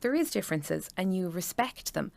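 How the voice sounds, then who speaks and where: A woman's voice: lowish voice, animated, normal speed, creaky, a little mellow. A woman in her thirties with an Irish accent, chatting enthusiastically as a parent about her children's school. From Ireland. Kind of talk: casual chat.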